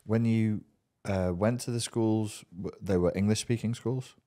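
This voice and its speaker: A man's voice: lowish voice, measured pace, mellow. A man hosting a podcast, asking questions in a casual conversational interview. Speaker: podcast host.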